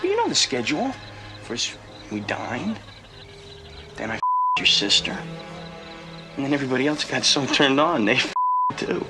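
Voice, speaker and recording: A man's voice: mid-range voice, huge pitch swings, unhurried, pauses often. A man playing a brash, smug young braggart in film dialogue. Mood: smug taunting. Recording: film clip with loud music.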